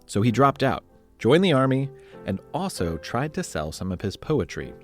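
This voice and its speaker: A man's voice: mid-range voice, very animated, moderate pace, faint music behind. A man narrating scripted history as a podcast host, in a playful, conversational storytelling way with dramatic emphasis.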